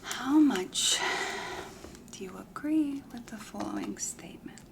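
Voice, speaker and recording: A woman playing a self-assured, chatty matchmaker in a comedy ASMR roleplay, whispering softly in a deliberate, confiding, matter-of-fact way. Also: fairly high pitch, expressive, a little hiss or hum. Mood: patient, businesslike. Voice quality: breathy whisper with heavy creak. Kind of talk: soft-spoken whispered ASMR roleplay questionnaire.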